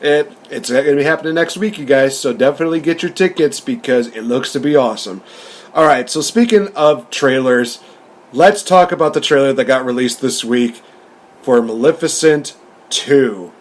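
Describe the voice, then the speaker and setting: Man casually co-hosting a movie show, mid-pitched. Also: moderate pace. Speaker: co-host of a movie review show.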